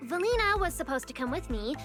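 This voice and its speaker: A small, impatient young female researcher for a video game. Her voice is very high and childlike, swinging animatedly as she speaks bluntly. Music plays clearly behind her. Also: big pitch swings, quick pace. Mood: flustered.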